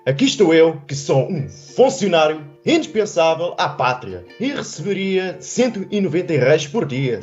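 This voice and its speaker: Pedantic village schoolmaster: very lively intonation, oratorical grievance, radio drama, muffled recording with guitar behind. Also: male voice, on the high side. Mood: aggrieved, indignant. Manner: oratorical, indignant. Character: pedantic, aggrieved village schoolmaster arguing politics. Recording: dull lo-fi audio over plucked guitar.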